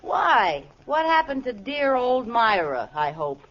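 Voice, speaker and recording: An actress playing a playful, catty housewife in a 1940s radio comedy, teasing and sarcastic. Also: female voice, on the high side, big pitch swings, slow pace. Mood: catty, jealous. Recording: muffled old radio recording, heavy noise, audience.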